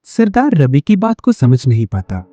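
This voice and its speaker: A man's voice: mid-range voice, wildly swooping pitch, normal speed, mellow, dry recording, lo-fi sound. A man narrating a scripted moral tale as a storyteller.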